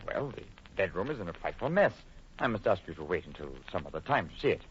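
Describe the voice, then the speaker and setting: A man's voice: low-pitched, very animated, quick pace. A man acting an uneasy, secretive French expatriate in old radio horror, speaking formally and dramatically, faltering when pressed. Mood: evasive, nervous. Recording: muffled old radio broadcast, faint hiss.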